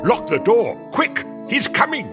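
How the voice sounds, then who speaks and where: A man's voice: very high-pitched, big pitch swings, measured pace, over music, full character voice. An actor playing a grumpy, miserly old businessman in a theatrical radio play, barking and scornful. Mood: irritated, barking.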